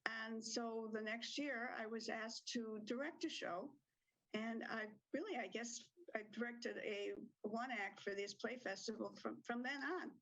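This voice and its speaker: A woman's voice: on the high side, steady tone, unhurried, fairly bright tone, poor audio. An older woman who directs community theatre, answering interview questions in a chatty way with ums and small laughs.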